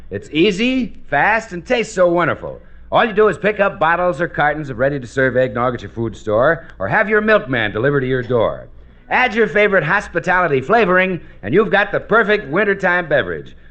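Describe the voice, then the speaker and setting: An American man announces in the style of 1940s radio. His voice sits somewhat high for a man and moves with very lively intonation through scripted copy and straight-man lines. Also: dull audio.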